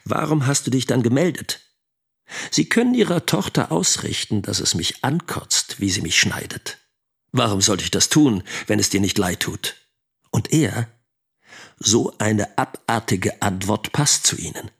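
A man's voice: lowish voice, big pitch swings, a little slow, creaky, a bit bright, breathy edge, exaggerated voice. An actor playing a lonely, bitter middle-aged teacher and father in a reflective radio drama monologue.

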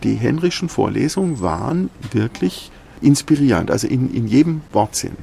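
Gravelly professor recalling, outdoors. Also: male voice, medium pitch, sing-song, moderate pace, warm tone, slight background noise. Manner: hesitant, repeating words, tag "ja". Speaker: professor interviewee. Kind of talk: casual recollection. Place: university courtyard outdoors.

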